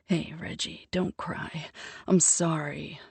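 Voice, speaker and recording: A woman voicing a young man, a grave brother who speaks low and soothingly, in an audiobook. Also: very slow, gravelly, husky, no echo, full character voice.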